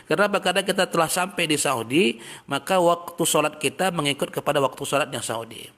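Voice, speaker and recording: A man's voice: fairly high pitch, a little fast. Chatty man teaching a religious lesson. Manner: reads Arabic text, then explains in plain talk; relaxed, chatty explaining with everyday examples. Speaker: Islamic teacher. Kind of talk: religious study lecture.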